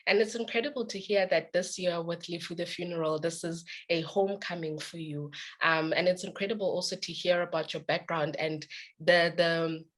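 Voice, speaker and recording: A woman moderating a film festival Q&A, speaking in measured, prepared phrases with soft fillers. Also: lowish voice, steady tone.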